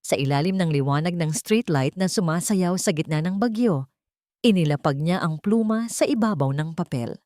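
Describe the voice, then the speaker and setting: A woman's voice: lowish voice, normal speed, darkish tone, dry recording, studio quality. A woman narrating a scripted dramatic story in a solemn, melodramatic manner.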